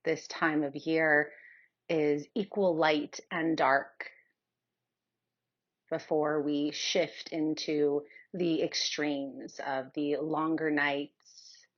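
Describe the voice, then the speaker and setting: A woman leading a guided yoga nidra meditation in a soothing, unhurried manner with long pauses. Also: sits low, steady tone, dull audio.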